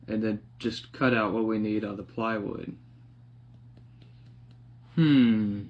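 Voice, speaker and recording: A young man casually narrating a hands-on project, half talking to himself in a halting mutter as he works in a living room. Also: lowish voice, steady tone. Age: young adult. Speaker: DIY vlogger. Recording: dull lo-fi sound, clear background noise.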